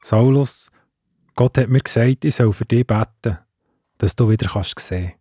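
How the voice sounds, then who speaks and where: A man's voice: medium pitch, moderate pace, mellow, breathy edge, no echo, clean studio sound, natural voice. A man playing the reluctant, timid Hananias in a children's audio drama, speaking with a Swiss German accent. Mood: kind. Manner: grumbling, hesitant.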